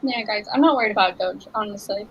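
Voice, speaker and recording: A woman's voice: medium pitch, quick pace, muffled recording, slight background noise. A woman co-hosting a trading livestream, chatting casually and saying like a lot. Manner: casual, heavy use of "like". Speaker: livestream co-host.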